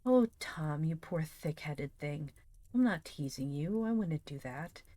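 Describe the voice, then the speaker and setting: A woman voicing a kindly older girl in a storybook, gently and patiently encouraging a young boy. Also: low voice, animated, a little slow, dry recording. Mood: tender, coaxing. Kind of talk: dramatized storybook reading.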